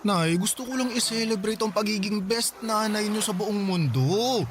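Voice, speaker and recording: An actor playing a devoted, playful grown son in a Tagalog radio drama, teasing and affectionate. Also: male voice, sits high, normal speed, close to an everyday voice. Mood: breezy, teasing. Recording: music bed behind the voice.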